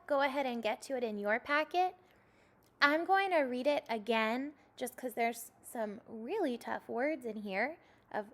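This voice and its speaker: A young woman teaching second graders a recorded reading lesson, giving patient step-by-step instructions. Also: sits high.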